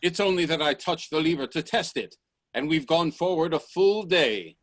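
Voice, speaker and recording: An actor playing a confident, eccentric professor and inventor in a radio play, assured, formal and grandly insistent. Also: male voice, on the high side, expressive, moderate pace. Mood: brisk, commanding.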